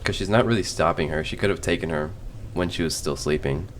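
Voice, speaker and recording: A man giving casual, informal reaction commentary, thinking out loud as he watches. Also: sits low, fast talker, a little hiss or hum. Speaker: reaction video host.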